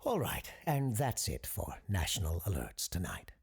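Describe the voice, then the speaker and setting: Deep, gravelly paranormal radio host. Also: male voice, wildly swooping pitch, exaggerated voice. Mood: courteous, showy. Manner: measured, formal, polite. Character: calm, courteous parapsychologist radio call-in host. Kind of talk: scripted radio show hosting, audio drama. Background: music under the voice.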